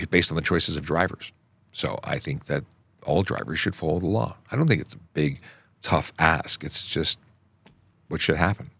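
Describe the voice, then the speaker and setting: A man's voice: deep voice, gravelly, a bit bright, no echo. A middle-aged man from Canada, a traffic police officer hosting a casual livestream Q&A, reading viewer questions and answering them plainly into a close microphone. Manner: chatty, reads questions aloud and answers plainly. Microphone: close studio microphone.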